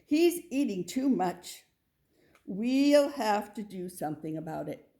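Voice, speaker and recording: A woman's voice: mid-range voice, sing-song, slow pace, mellow. A woman voicing a proud, fretful father goat in a children's storybook read-aloud. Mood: worried.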